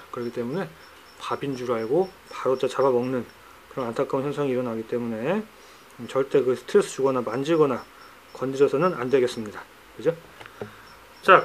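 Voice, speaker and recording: A middle-aged man with a hoarse, cracking voice, talking casually in a how-to vlog about his pets, recorded on a handheld phone indoors. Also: mid-range voice, slight background noise, low-quality recording. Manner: chatty, teasing, drops into banter. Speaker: pet keeper vlogger. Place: indoors among pet enclosures.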